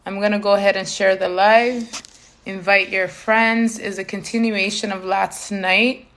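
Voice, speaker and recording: A woman's voice: mid-range voice, fairly even intonation, measured pace, a bit bright, poor audio. A young woman from Canada hosting a live stream for her community foundation. She switches between English and Somali and uses many fillers. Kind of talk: live stream talk to viewers.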